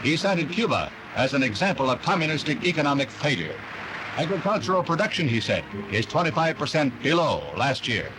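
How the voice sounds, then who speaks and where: Animated American newsreel man, higher-pitched voice. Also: a little fast, noisy background. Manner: declamatory announcer delivery. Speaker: newsreel narrator. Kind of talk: 1960s newsreel scripted narration. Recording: vintage newsreel soundtrack with music. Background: newsreel music.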